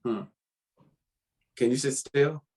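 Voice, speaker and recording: Man acting slow, laid-back American old flame. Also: medium pitch, a little mellow. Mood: defensive, bantering. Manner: slangy, casual, bantering. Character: Anthony, laid-back bantering homeowner, woman's old lover. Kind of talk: informal screenplay table read.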